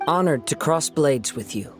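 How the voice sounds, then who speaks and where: A man's voice: fairly high pitch, lively intonation, moderate pace, lightly acted. Male actor as young wandering samurai, courteous, serene temper, very varied intonation, video game, music behind. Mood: formal, composed. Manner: courteous, composed.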